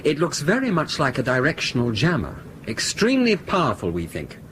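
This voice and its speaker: A man playing an analytical, inquisitive passenger in a radio drama, speaking deliberately as he pieces things together. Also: a little high, wildly swooping pitch, a bit bright, slight background noise. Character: curious, analytical male passenger sleuthing out technical clues. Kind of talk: broadcast radio drama acting.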